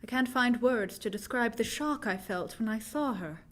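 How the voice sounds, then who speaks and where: A woman's voice: fairly high pitch, expressive, normal speed, a bit bright. A woman playing an earnest, frightened young woman in a vintage-style radio drama, speaking with dramatic, anguished deliberation. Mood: haunted, recounting.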